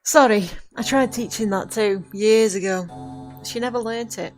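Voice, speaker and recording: A woman playing a centuries-old witch in an audio drama, commanding and formal, with measured, theatrical phrasing as she mentors a novice. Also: a little high, close to an everyday voice, rough recording. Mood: regretful. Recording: voice over prominent background music.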